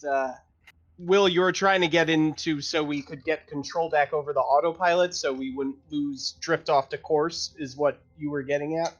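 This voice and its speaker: A man with a fairly high voice speaks through slightly dull, lo-fi audio. He talks casually and haltingly as a game player. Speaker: player in a tabletop roleplaying game. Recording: dull, lo-fi sound.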